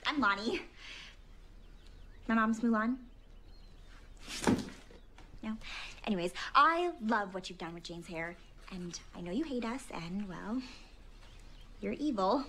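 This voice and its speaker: Animated, eager teenage girl. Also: medium pitch, slow pace, pauses often, noisy background. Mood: nervous friendly. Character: eager, friendly teenage girl wanting a cool makeover. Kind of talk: live-action film acting.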